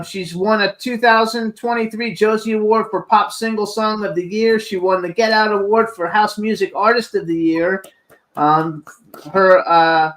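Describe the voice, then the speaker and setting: A man's voice: sits high, fairly bright tone, rough recording. A man of about sixty co-hosting a celebrity interview show, chatty and promotional as he reels off a guest's credits and plugs. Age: 60s. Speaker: co-host.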